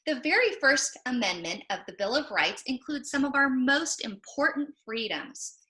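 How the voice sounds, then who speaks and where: A woman with a bright, forceful voice presents books to children. Her pitch sits on the high side and moves in lively, expressive swings as she poses questions and explains the answers. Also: moderate pace, rough recording. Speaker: library presenter. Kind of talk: book talk for children.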